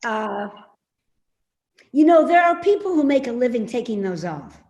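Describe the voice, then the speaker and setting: A sarcastic elderly mother in a stage play, acted by a woman, needling and quick to brush things off. Her voice sits fairly high and moves with very lively swings of pitch. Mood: prying, needling. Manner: dry, needling, dismissive. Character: hobbling, sharp-tongued elderly mother, evasive and sarcastic. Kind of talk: readers theater stage-play acting.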